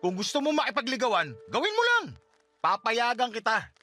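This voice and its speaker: Cold, impatient husband for radio drama; high, forceful voice, curt delivery. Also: male voice, wildly swooping pitch, a little fast, faint music behind, heavily acted. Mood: irritated. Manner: curt, exasperated. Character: cold, irritated husband pushing to end his marriage. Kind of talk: radio drama dialogue in Tagalog.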